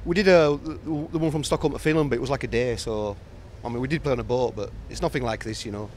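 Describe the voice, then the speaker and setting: A middle-aged man from the United Kingdom, a musician answering casually on a ship's deck, relaxed with hesitant restarts. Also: mid-range voice, quick pace, a little hiss or hum. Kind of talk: casual interview. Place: outdoor deck of a cruise ship. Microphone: reporter's handheld mic.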